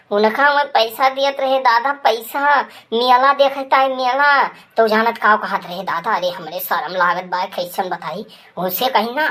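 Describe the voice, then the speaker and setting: Woman acting a sharp, high-voiced Awadhi village woman. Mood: scandalized. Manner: dramatic, scolding, exclamatory. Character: loud village women, scandalized gossips and an angry betrayed wife. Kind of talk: rural cartoon comedy voice acting.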